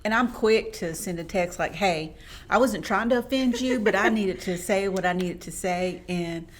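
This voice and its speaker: A middle-aged or older woman with a soft voice, chatting from the heart in a gentle but firm, halting way. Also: medium pitch, a little hiss or hum. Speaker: guest, the host's mother. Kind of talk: casual heartfelt chat.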